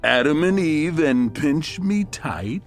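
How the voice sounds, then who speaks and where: An actor playing a cocky, menacingly playful detective from a story come to life, deliberate and theatrical in an audio drama. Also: male voice, a little high, lively intonation, faint music behind. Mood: taunting. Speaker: actor in a fiction podcast.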